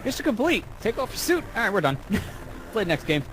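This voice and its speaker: A man's voice: high voice, sing-song, a little fast, fairly bright tone, poor audio. A man joking and chatting casually as a co-commentator on a let's play. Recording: faint background noise and ambience.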